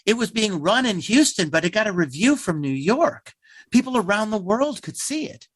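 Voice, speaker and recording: Higher-pitched man answering in an interview. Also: very animated, normal speed, breathy edge, no echo. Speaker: theater actor and playwright, interview guest.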